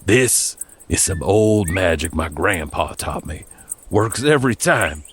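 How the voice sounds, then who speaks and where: A man's voice: deep voice, lively intonation, takes it slowly, slight background noise. A man voicing a kindly, sure old American country grandfather in a storybook tale, terse and confiding.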